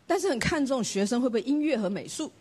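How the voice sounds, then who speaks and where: A woman's voice: high voice, expressive, quick pace, a little mellow, breathy edge. A woman preacher from Taiwan, giving an earnest, emphatic sermon with a personal testimony and rhetorical questions.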